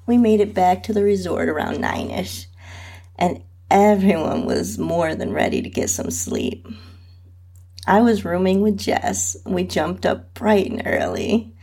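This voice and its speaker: A woman's voice: lowish voice, gravelly, a little mellow. A woman reading a scripted first-person story aloud as its narrator and host.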